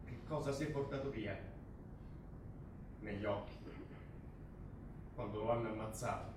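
A man's voice: lowish voice, normal speed, close to an everyday voice. Man acting a grim mourner, echoing hall. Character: grim, haunted male rugby player mourning a murdered teammate. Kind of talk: slow theatrical stage monologue. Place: theatre stage. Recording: echoey, noisy theatre from far off. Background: clear ambient noise.

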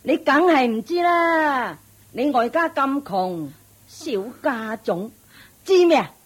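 A spiteful, sneering old matriarch. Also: female voice, high voice, animated, slow pace, slightly rough, full character voice. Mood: scornful. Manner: sneering, scolding, mocking. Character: haughty, spiteful wealthy old matriarch, domineering mother-in-law. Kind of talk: Cantonese radio drama acting.

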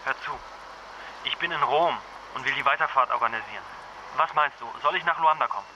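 Worried husband, noisy background. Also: male voice, medium pitch, fairly quick, pushed and bright, muffled recording. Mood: concerned, practical. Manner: earnest, soothing, sometimes stammering. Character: worried, protective husband, patient but anxious. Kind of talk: naturalistic radio drama acting in phone calls. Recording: noisy ambience with vehicle sounds.

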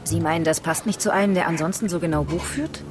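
A woman's voice: on the low side, fast talker, lightly acted. A woman playing a shrewd, firm police investigator in a German radio crime drama, direct and needling. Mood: inquisitive.

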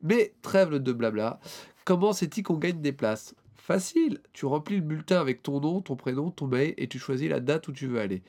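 A man's voice: on the high side, expressive, moderate pace, darkish tone. A man co-hosting a casual podcast chat, stuffy with a cold and rambling with fillers and restarts. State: has a cold. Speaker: podcast co-host.

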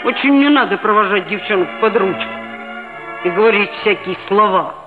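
A woman's voice: medium pitch, expressive, normal speed, phone-quality sound, close to an everyday voice. An actress playing an earnest, proud seventh-grade schoolboy who narrates his own story in a 1960s radio play. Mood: hurt, stubborn. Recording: thin band-limited old radio recording, music behind.

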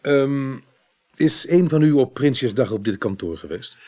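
An actor playing a polite, probing, slightly awkward police inspector in a Dutch radio drama. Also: male voice, mid-range voice, lively intonation, measured pace, mellow. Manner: hesitant, courteous.

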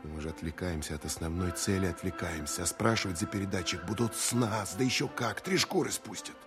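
Casting: male actor as sardonic counterintelligence officer, lowish voice, grumbling inner monologue for wartime radio play, prominent music underneath. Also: brisk, close to an everyday voice. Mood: irritated, sardonic. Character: cynical, irritated wartime counterintelligence officer, male, thinking aloud. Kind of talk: Russian audio drama interior monologue.

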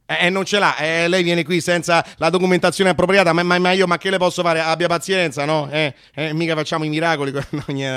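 A man's voice: a little high, fairly bright tone, clean tone, no echo, studio quality, natural voice. Man playing stammering, exasperated petty Church bureaucrat. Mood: officious, wheedling. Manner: stammering, repetitive, officious, mock-apologetic. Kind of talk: satirical solo radio theatre monologue.

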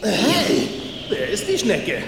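A man's voice: high voice, huge pitch swings, slow, heavily acted. A middle-aged man playing a theatrical, exclamatory father turned ghost in a German audio drama. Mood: giddy, carefree. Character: dead father as giddy, then remorseful ghost. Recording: echoey hall reverb, clear background noise.

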